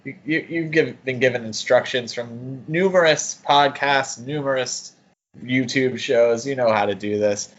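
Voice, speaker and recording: A man's voice: medium pitch, measured pace, dull audio. A man co-hosting a podcast in casual chat, with an easygoing manner and joking asides. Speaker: podcast co-host.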